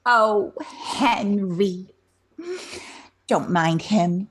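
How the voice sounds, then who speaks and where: A woman's voice: mid-range voice, very slow, breathy edge. A woman playing a vain, scatterbrained beauty queen in a radio comedy play, gushing and flighty. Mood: dismissive.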